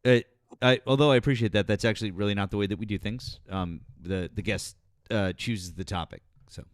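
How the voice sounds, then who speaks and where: A man's voice: on the low side, fairly quick, no echo, studio quality. A man in his forties hosting a live political stream, pressing a caller with pointed, repeated questions. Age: 40s. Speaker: host.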